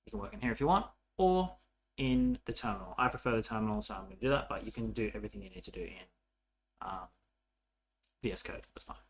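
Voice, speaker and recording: A man's voice: lowish voice, quick pace, slight background noise, poor audio. A man narrating a casual coding tutorial as an instructor, informal and thinking aloud as he works.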